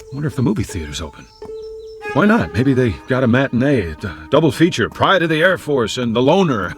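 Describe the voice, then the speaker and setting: An American actor in a radio drama playing a confused, lonely amnesiac Air Force man who talks to himself in halting, stammering repeats. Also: medium pitch, close to an everyday voice. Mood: wry, lonely. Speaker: lead actor. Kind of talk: dramatic radio play acting.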